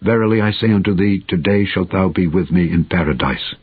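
A grave, prophetic holy man, voiced by a male narrator speaks with solemn compassion in a scripture reading. The voice is low and husky. The old recording sounds dull and narrow. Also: no room sound. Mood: solemn, compassionate. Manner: slow solemn pronouncement. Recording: muffled, band-limited old audiobook recording.

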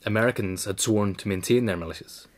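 A man reading a written biographical essay in a measured, formal way. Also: lowish voice. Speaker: narrator, reader. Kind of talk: scripted narration of a biographical essay.

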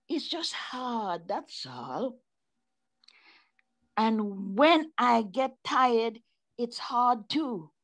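A woman's voice: fairly high pitch, fairly bright tone, heavily acted. A woman reading the part of a tired, dutiful young trainee nurse in a stage play. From Caribbean.

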